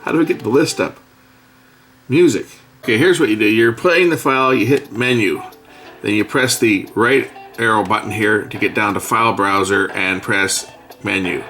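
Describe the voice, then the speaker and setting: Middle-aged man, low gravelly voice, unhurried talk-through of a gadget at his workbench, faint music. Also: pushed and bright. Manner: thinking aloud while pressing buttons, unhurried. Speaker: product reviewer. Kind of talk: casual hands-on product demo. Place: indoors at a workbench.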